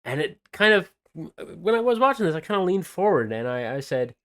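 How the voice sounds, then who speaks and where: A man's voice: a little high, very animated, normal speed. Rambling man chatting about games. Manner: rambling, with many fillers and restarts. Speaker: podcast co-host presenting game news. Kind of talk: casual podcast chat.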